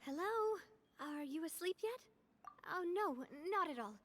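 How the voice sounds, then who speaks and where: Bright-spirited, high-voiced young gang leader. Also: female voice, animated, measured pace, a little hiss or hum, full character voice. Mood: playful, eager. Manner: breezy, chuckling, casual. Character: cheerful, confident young woman leading a gang, playful and warm. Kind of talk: video game voice acting.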